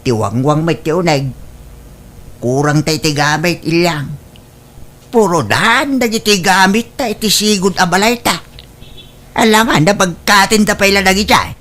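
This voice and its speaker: A woman plays a weary old Ilocano husband in a comedy drama. The voice is bright and forceful, grumbling and exclaiming about every hardship. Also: lowish voice, a little slow. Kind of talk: Ilocano comedy radio-style drama acting.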